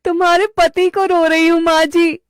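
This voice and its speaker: A woman acts out a young cartoon wife, suspicious and full of complaint. Her voice is high and whiny, with dramatic pleading. The sound is close and dry. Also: level tone, normal speed, no echo. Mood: aggrieved. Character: young suspicious, plaintive wife and daughter-in-law.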